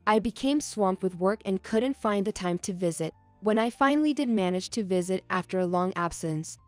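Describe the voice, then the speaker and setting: A woman narrates a scripted, heartfelt story in a calm and even voice. The recording is dry and close, with no room sound at all. Her pitch sits in a middle range. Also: no echo.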